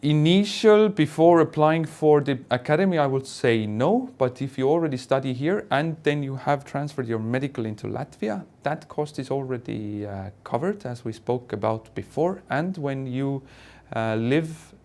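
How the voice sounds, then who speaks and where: A man speaking as an airline pilot and instructor, answering questions in an online Q&A in a hesitant, measured way. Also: medium pitch, a little mellow.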